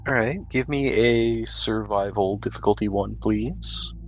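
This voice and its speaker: A man's voice: lowish voice, a little slow, sharp tone, slight background noise. A man speaking as game master, narrating a tabletop roleplaying game in a conversational way.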